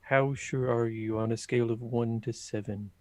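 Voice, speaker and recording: A man's voice: mid-range voice, fairly even intonation, moderate pace, darkish tone, dry recording, close to an everyday voice. A man playing a nervous, squeamish and pedantic adventurer in a tabletop game, stammering and hesitating as he talks. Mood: nervous, fussy. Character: nervous, squeamish, pedantic male adventurer who dreads tunnels.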